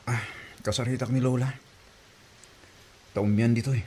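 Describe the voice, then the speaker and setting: A protective big brother from a radio-style drama played by a man. His voice is mid-pitched and a little raspy, with an Ilocano accent and a concerned manner. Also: fairly even intonation, moderate pace, a little mellow, natural voice. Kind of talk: Ilocano audio drama acting.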